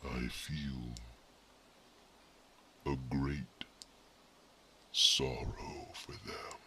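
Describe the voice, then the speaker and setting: A man voicing a wise, solemn male companion to a young dragon, speaking in a slow, grave whisper in an ASMR fantasy roleplay. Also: extremely low pitch, level tone, sharp tone, husky, exaggerated voice.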